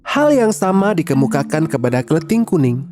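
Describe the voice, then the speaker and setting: Expressive woman storyteller. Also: sits low, normal speed, music in the background. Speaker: narrator. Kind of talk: scripted children's story narration.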